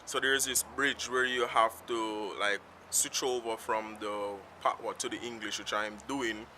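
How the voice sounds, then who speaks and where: Bright-voiced Jamaican man vlogging. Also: lowish voice, steady tone, a little slow. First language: Jamaican Patois. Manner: rambling, unscripted, free-flowing. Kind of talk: casual talk to camera. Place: outdoors in town, in the sun. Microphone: self-filmed camera. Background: faint street traffic.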